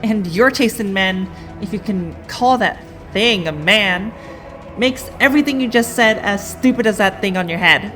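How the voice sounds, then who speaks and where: A woman playing a brave, protective teenage superhero in an audio drama, firm and sharp-tongued. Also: medium pitch, normal speed, music in the background, lightly acted. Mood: defiant scorn.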